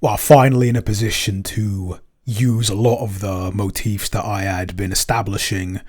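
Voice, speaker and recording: A man co-hosting a casual chat, soft-spoken with dry, understated humor. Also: lowish voice, steady tone, normal speed, husky. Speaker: podcast co-host and composer.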